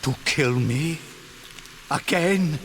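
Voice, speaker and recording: A man's voice: on the high side, extremely slow, fairly bright tone, exaggerated voice. An actor playing a scheming, haughty male sorcerer in a video game, pompous and theatrical. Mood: alarmed. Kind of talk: dramatic video game voice acting. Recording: long hall reverb, some noise.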